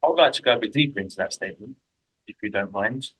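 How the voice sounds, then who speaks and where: A man with a London accent hosting an interview, chatty and self-deprecating with quick asides. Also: lowish voice, expressive, fairly bright tone, breathy edge, low-quality recording. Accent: London English. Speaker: host. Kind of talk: casual interview chat. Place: lives in Mexico.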